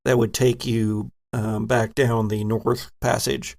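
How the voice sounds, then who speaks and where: A man running a tabletop game as game master, setting scenes with deliberate narration and frequent fillers. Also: mid-range voice, level tone, gravelly, a little mellow.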